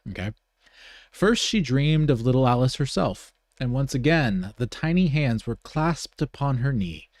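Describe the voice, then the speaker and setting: A man's voice: mid-range voice, a little mellow, dry recording, studio quality. A man hosting a podcast, moving between informal, self-correcting talk and reading aloud. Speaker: podcast host. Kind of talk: casual live show talk and reading aloud.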